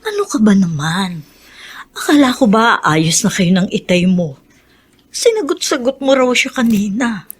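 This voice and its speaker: An actress playing a patient, long-suffering middle-aged Filipina mother with a husky voice in a radio drama. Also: mid-range voice, sing-song, measured pace. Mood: pleading, sorrowful.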